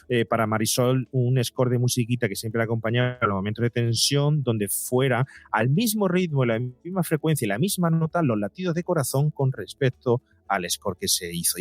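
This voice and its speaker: A man speaks in a medium-pitched voice, explaining his work in an interview. He often repeats himself and rephrases mid-thought. The recording is very dry, with music underneath. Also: dry recording. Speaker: podcast guest, psychologist and documentary creator. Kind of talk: conversational interview answers.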